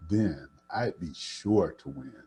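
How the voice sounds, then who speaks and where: A man voicing an eager, determined young country girl from a storybook, with excited, folksy phrasing. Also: low-pitched, slow pace, soft background music, voice pushed down, full character voice.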